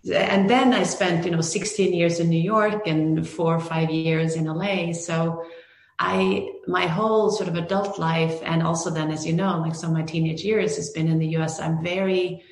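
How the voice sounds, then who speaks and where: A woman's voice: fairly low pitch, fairly even intonation, normal speed. A Norwegian woman, a film director, answering interview questions thoughtfully with many restarts and fillers. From Norway. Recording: echoey room.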